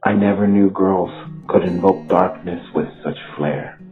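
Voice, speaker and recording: A man reciting dramatic gothic spoken word in a brooding, drawn-out manner, sometimes dropping toward a whisper. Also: low voice, steady tone. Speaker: author performing own lyric piece. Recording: echoey, muffled, under loud guitar music. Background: plucked guitar music.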